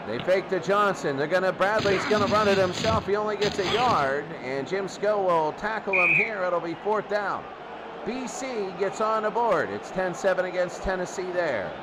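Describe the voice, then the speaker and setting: A man's voice: high voice, expressive, normal speed, heavy background noise. A man doing live football play-by-play in short, repetitive sentences, as the announcer. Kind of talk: live play-by-play sports commentary.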